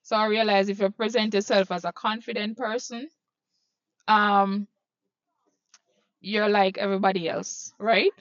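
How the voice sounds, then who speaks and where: A Jamaican woman answers slowly and hesitantly, working out her thoughts as she speaks. Her voice has a middle pitch and a very unhurried pace. The sound is dry and slightly dull. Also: steady tone, no room sound. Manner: slow, hesitant, thinks aloud. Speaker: interviewee. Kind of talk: casual interview answer.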